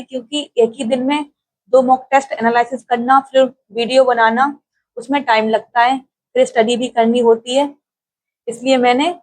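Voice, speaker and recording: A woman's voice: high voice, rough recording. A young woman from India talking casually to her viewers in a vlog, speaking steadily with frequent hesitations. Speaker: exam-prep YouTuber.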